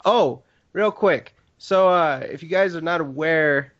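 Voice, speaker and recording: A man's voice: fairly high pitch, unhurried, a bit bright, dull audio. A man co-hosting a casual gaming podcast, a bit congested, talking in a rambling, joking way with lots of fillers. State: congested from allergies. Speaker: podcast co-host.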